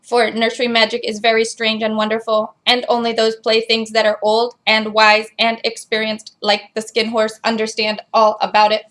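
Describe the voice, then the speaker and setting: Woman doing a storytelling robot voice, fairly high. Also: completely flat delivery, normal speed, hardly any pauses, a bit bright, natural voice. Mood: mechanical, measured. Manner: robotic delivery. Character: storytelling robot reading a children's book aloud. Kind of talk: scripted storybook narration.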